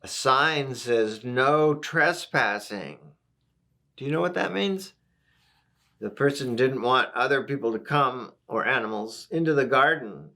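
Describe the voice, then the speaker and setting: A man reading a picture book aloud to young children, gently explaining things and asking the listener questions. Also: medium pitch, expressive, normal speed, creaky. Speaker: volunteer story reader.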